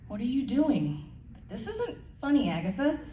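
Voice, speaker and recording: A woman's voice: fairly high pitch, wildly swooping pitch, normal speed, warm tone, voice pushed up. A woman voicing a terrified young woman, pleading and exclaiming in panic, in an echoey room. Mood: alarmed. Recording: roomy, distant mic, faint noise.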